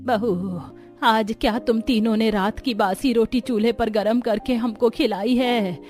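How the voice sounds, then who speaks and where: A woman's voice: a little high, fairly even intonation, unhurried, darkish tone, faint music behind, close to an everyday voice. A woman voicing a blunt, grumbling old mother-in-law in a cartoon about a poor family. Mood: weary complaint. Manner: scolding, blunt. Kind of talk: Hindi cartoon story acting.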